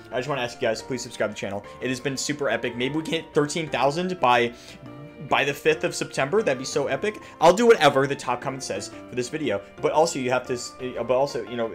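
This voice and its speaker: A young man hosting a gaming channel with casual, jokey, exaggerated reaction commentary full of crude slang. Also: mid-range voice. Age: young adult. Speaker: YouTube gaming host. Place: small home room.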